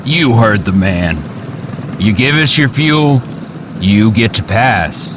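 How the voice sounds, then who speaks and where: A hostile roadblock enforcer in a gritty audio drama. He speaks bluntly and threateningly, with big swings in intonation. Loud vehicle noise surrounds him. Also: fairly low pitch, lively intonation, slow. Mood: menacing. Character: hostile, coarse male armed roadblock thug. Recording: very noisy roadside with engine.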